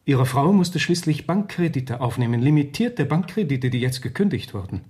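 A formal gentleman of the law played by a male actor in a radio play, mid-pitched. He is courteous and sober, setting things out in measured, matter-of-fact sentences. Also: very animated, close to an everyday voice. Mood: grave, factual. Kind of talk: radio drama acting.

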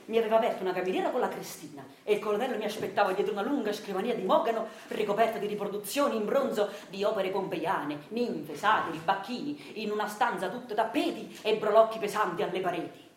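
A woman playing a self-styled intellectual husband who narrates a radio drama theatrically and deliberately, with a Neapolitan accent. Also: medium pitch, reverberant, natural voice. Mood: proud recounting. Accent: southern Italian, Neapolitan. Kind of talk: theatrical scripted storytelling.

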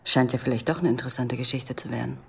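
An actress playing a guarded, sharp-tongued young woman in a radio play, with a dry, scornful manner. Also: a bass voice, fast, vocal fry, noisy background. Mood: cool, sceptical.

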